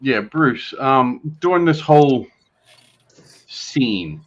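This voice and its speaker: A man's voice: mid-range voice, sing-song, takes it slowly, muffled recording. A man playing a tabletop game, asking and commenting in casual chat.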